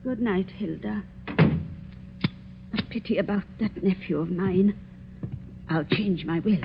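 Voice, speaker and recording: An actress playing an older rich spinster aunt who scolds imperiously, in 1940s radio acting style. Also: female voice, mid-range voice, slow pace, vocal fry, a little hiss or hum. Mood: stern. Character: old rich spinster aunt, stern and scornful. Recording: tinny phone-like old radio recording.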